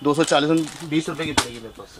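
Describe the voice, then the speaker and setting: Fast, high-voiced man rattling off prices. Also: loud music behind the voice. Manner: rapid, repetitive price-counting patter. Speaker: wholesale shop owner. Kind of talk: sales pitch, listing prices. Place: small indoor shop crowded with shelves. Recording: noisy shop recording.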